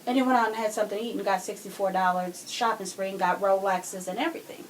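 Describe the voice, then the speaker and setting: A woman's voice: mid-range voice, steady tone, fast talker, a little hiss or hum, low-quality recording. A woman co-hosting a podcast, talking casually and emphatically as she argues her points in a true crime discussion. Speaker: podcast co-host.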